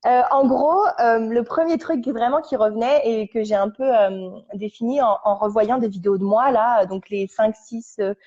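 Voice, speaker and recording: Halting young woman with a mellow voice. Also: fairly high pitch. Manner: halting, searching for words with many restarts. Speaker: guest. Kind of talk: casual storytelling chat. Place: sitting on a couch at home. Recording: dull lo-fi recording.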